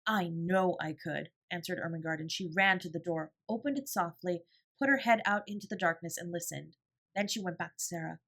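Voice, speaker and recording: A woman narrating an audiobook in an expressive storytelling style. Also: lowish voice, brisk.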